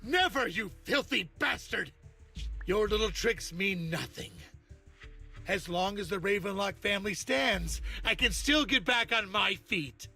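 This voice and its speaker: An actor playing an arrogant, furious older family head in a video game, with a gravelly, strained voice. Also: male voice, high voice, lively intonation, measured pace, bright, forceful tone, soft background music, full character voice. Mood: defiant rage.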